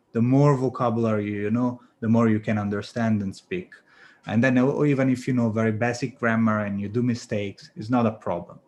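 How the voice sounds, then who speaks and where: A man with an Italian accent, teaching English on a video call and giving encouraging feedback with many fillers. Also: lowish voice. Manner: relaxed, encouraging, full of fillers. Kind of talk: online class feedback round.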